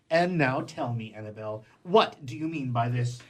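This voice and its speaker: A man's voice: medium pitch, animated, a little slow. An actor playing a jilted, cynical man of the world in a stage comedy, with a sarcastic, declamatory manner. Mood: mocking, sarcastic. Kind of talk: theatrical stage comedy acting.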